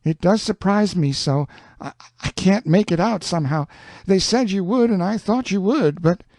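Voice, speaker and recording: A man's voice: sits high, animated, normal speed, a little mellow, no room sound, studio quality, pitched above the performer's own voice. A man voicing a cheeky teenage boy, mock-innocent and stammering. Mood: puzzled. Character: cheeky Southern teenage boy posing as a stranger.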